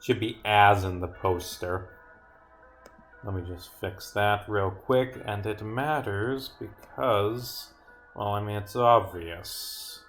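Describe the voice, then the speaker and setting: A man live-streaming his own game, reading dialogue aloud and rambling as he thinks out loud, with some swearing. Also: on the low side, slow pace, faint music behind.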